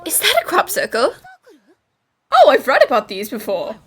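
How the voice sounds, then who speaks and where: A woman's voice: sits high, huge pitch swings, normal speed, a bit bright, heavily acted. A woman playing an eager, confident young detective in a game fan dub, speaking in an exclamatory, theatrical way. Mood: explaining. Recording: music behind the voice.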